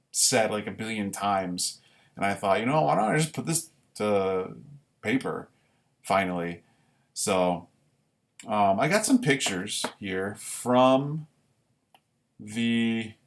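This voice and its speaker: A man chatting casually as a livestream host, rambling and halting, often correcting himself as he goes. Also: mid-range voice, creaky. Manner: rambling, halting delivery with frequent self-corrections. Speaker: amateur writer.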